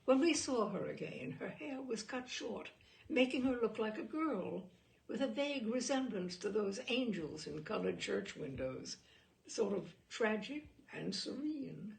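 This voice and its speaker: A woman narrating a literary short story aloud in an expressive, measured way, with dramatic phrasing. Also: medium pitch, vocal fry.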